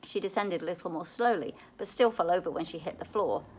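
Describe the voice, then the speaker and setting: A woman reading a children's novel aloud in an expressive storytelling way, as at a library read-aloud. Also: lowish voice, fairly quick, breathy edge, rough recording.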